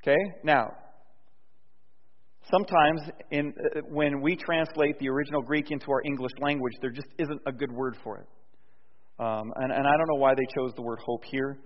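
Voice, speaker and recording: A man preaching a sermon in a conversational way, dropping soft and low and then rising loud and emphatic. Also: steady tone, normal speed. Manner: conversational, with restarts and fillers. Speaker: pastor. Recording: dull sound with long hall reverb.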